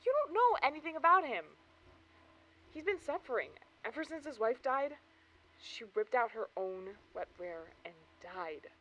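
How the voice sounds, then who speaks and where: Actress as high, bright, emotional young woman. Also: big pitch swings, moderate pace, full character voice, poor audio. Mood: defensive. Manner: emotional, urgent. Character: young woman, defensive and frightened, in love. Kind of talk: audio drama acting.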